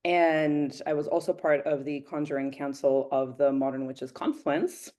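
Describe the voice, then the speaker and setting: A woman speaks as a guest astrologer. Her voice is low, and she talks in a measured, concise way. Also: steady tone, warm tone. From Greece. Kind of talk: interview self-introduction.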